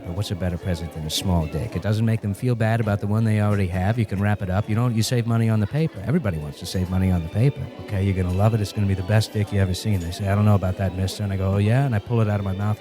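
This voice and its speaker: A man's voice: fairly low pitch, racing, few pauses, natural voice. A man acting an earnest, small-time patter merchant in a comic radio play. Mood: salesman patter. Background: loud music.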